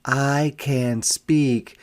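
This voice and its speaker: A man reads a storybook aloud in a medium-pitched voice. His pace is slow and deliberate, like a teacher with young listeners. He steps out of the story now and then to explain things more quietly. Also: steady tone. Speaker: teacher reading a picture book aloud. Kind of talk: interactive read-aloud storytelling with explanatory asides.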